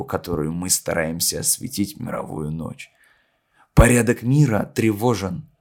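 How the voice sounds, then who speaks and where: A man playing a solemn poet, reciting a grave philosophical oration in a measured, declamatory way with emphatic pauses. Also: medium pitch, a little mellow. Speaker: reader of a poet's speech. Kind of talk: dramatic literary recitation in an audio play. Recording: music under the voice. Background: music.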